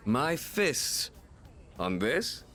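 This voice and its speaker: A man's voice: medium pitch, big pitch swings, very slow. An actor voicing a confident, stoic, athletic older teenage boy in a video game, understated and cool. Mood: cool, curious. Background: game music.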